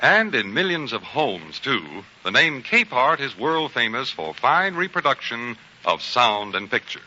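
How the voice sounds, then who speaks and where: An old, narrow-band 1940s radio recording of an American male announcer. He is very bright and forceful, formal and emphatic, with a lively, swinging delivery. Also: medium pitch, unhurried, a little hiss or hum.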